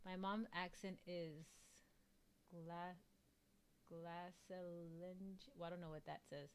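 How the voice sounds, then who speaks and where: A woman's voice: fairly low pitch, steady tone, takes it slowly, clean tone. A woman from South Texas with a Mexican-American Texan accent, rambling in casual chat as a streamer, filling her talk with "like" and "um". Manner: rambling, leans heavily on "like" and "um". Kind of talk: casual chat reading viewer comments.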